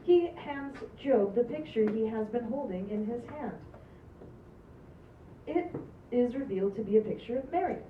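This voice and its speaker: A woman's voice: fairly high pitch, animated, moderate pace, pauses often. A woman reading script stage directions aloud at a table read, in a plain, amused way. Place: room at a group table read. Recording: roomy, distant, dull sound with noise.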